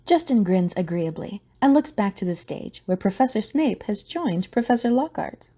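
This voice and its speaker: A woman's voice: medium pitch, big pitch swings, moderate pace, mellow, slightly rough. A woman reading scripted, dramatic story narration for an audio drama.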